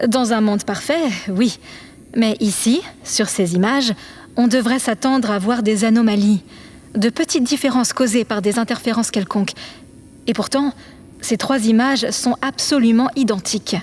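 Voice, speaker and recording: A woman as an earnest, high-voiced engineer. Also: normal speed, darkish tone, lightly acted. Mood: earnest, concerned. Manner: deferential, careful, matter-of-fact. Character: earnest, polite female chief engineer on a spaceship. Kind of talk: scripted audio drama acting. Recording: clear background noise.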